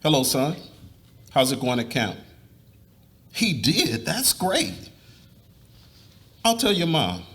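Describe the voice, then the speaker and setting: A man's voice: fairly high pitch, huge pitch swings, slow pace, long pauses, fairly bright tone, exaggerated voice. A man playing an earnest middle-aged husband and father in a live dramatic reading, with an explanatory, confessional way of talking. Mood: fatherly, cheerful. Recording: live reading with room noise.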